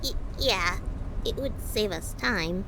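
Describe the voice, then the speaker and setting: Woman acting a timid, very creaky stammerer. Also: medium pitch, lively intonation, takes it slowly. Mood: nervous, hesitant. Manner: stammering, hesitant. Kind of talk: audio drama acting. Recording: clearly noisy amateur recording.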